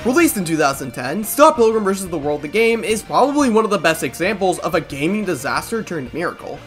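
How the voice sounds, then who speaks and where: A man's voice: fairly high pitch, fairly bright tone. A man hosting a video essay, giving casual, opinionated commentary with some mild swearing. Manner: casual, mildly profane, opinionated. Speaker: host of a video review. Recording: music behind the voice. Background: background music.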